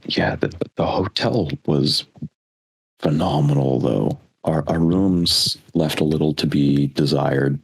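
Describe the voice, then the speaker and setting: A man's voice: extremely low pitch, creaky, husky. A young American man in his twenties co-hosting a podcast, chatting casually in a rambling, reflective way. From United States. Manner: rambling, reflective, with frequent restarts. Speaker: podcast co-host. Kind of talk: casual chat.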